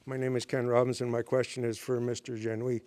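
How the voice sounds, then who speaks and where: A man from the audience putting a measured question to a candidate. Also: mid-range voice, flat delivery, darkish tone. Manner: measured, with fillers. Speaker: audience member asking a question. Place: mosque hall.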